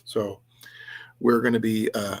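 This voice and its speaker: A man with a slightly raspy voice hosting a casual livestream, talking in a halting, rambling way with restarts and trailing asides as he chats with viewers. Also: on the low side, level tone. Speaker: livestream host of an outdoors and preparedness channel. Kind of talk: casual livestream chat, reading and answering viewers' comments.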